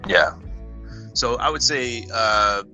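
A man with a deep but extremely sharp, forward voice chats as a podcast co-host. He keeps it informal and slangy. Faint music is present. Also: expressive, takes it slowly. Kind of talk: casual conversational podcast talk.

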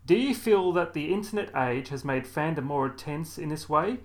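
A man's voice: a little high, lively intonation, normal speed. Man with a faint Welsh accent hosting. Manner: chatty, jokey anecdotes. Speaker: podcast host. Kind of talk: casual chat.

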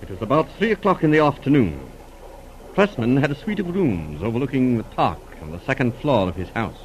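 An actor playing an earnest, courteous doctor in a 1940s radio drama, speaking in a measured, slightly hesitant way. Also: male voice, mid-range voice, big pitch swings, highs cut off, lightly acted. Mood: explanatory. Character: earnest, courteous male physician confiding a mystery. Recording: noisy old radio recording.

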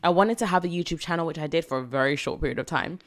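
A woman's voice: deep voice, rapid-fire. A woman in her twenties, raised in Britain after a childhood in Jamaica, hosting a solo podcast Q&A in a chatty, reflective way with rambling asides. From Jamaica, raised in the UK from age seven. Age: 20s. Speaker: podcast host. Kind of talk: solo podcast Q&A, casual chat.

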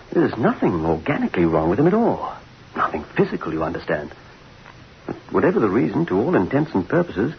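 Grave, clinical physician, gravelly lower register, old radio play. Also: male voice. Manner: clinical, authoritative. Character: matter-of-fact male doctor giving grave news. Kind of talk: old-time radio drama acting. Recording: dull vintage radio recording, faint noise.

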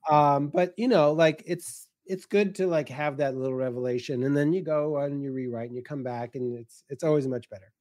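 A man talking casually on a panel as a writer and editor, hesitant and halting with many fillers. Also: a little high, a little mellow.

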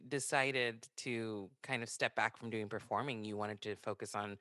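Bright-toned male interviewer, dry sound. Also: on the low side, steady tone, moderate pace, no echo. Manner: supportive, agreeing, conversational. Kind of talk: casual interview conversation.

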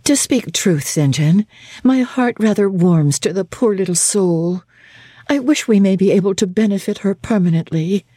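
Audiobook role: kind young gentlewoman, read by a female narrator, hushed, genteel, quietly curious. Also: mid-range voice, expressive, moderate pace, no room sound, clean studio sound, close to an everyday voice. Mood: tender concern. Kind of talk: dramatic audiobook character reading.

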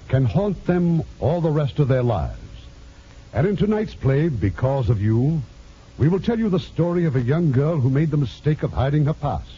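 A man hosting a radio theatre, giving a formal, deliberate scripted introduction in 1950s style. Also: fairly high pitch, dark tone, breathy edge. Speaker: radio theatre host and producer. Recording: muffled 1950s radio broadcast.

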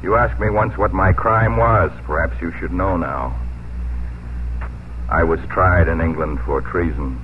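An actor playing a solemn, defiant bondservant in a 1950s radio drama. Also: male voice, low-pitched, slight background noise. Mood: solemn, resigned. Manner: solemn, deliberate. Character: grave, defiant bondservant, a proud rebel. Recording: narrow vintage radio recording, music behind.

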